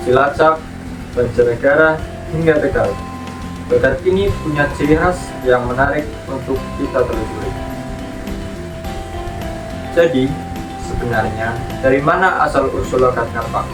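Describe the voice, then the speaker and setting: A man hosting a podcast, reading clear and informative scripted narration in a welcoming tone. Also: mid-range voice. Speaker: podcast host. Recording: echoey, distant with music bed.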